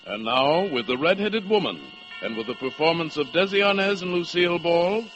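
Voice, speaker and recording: High-voiced vintage American radio announcer, man. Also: expressive, moderate pace, low-quality recording. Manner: formal, dramatic presentation. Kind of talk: 1940s radio announcing, scripted. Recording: vintage radio broadcast over loud music.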